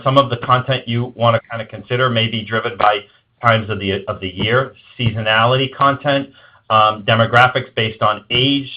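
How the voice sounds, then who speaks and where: Man explaining business ideas, slightly muffled audio. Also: lowish voice. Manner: explanatory, hedging with "kinda" and "you know". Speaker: marketing executive guest. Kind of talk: webinar interview answers, informal business advice. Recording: slightly dull, lo-fi webinar audio.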